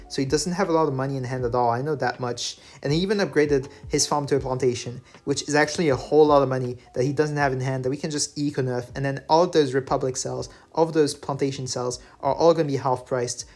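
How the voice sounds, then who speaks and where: Man, french is his first language, game commentary, lots of uhs, faint music behind. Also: mid-range voice. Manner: relaxed, filler-heavy, self-correcting. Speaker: gaming channel host. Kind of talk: gameplay commentary voice-over. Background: faint background music.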